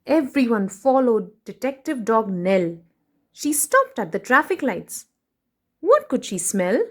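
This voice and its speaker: High, lilting woman reading to children. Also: takes it slowly. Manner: animated, addresses children directly with questions. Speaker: storybook reader for children. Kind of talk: expressive children's read-aloud with asides to the listeners.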